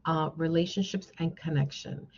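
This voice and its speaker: A woman's voice: deep voice, steady tone, moderate pace, gravelly, slightly rough. A woman guest speaker giving an encouraging motivational talk to a class, often checking in with her listeners. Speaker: guest speaker, social worker. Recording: dull, band-limited lo-fi sound.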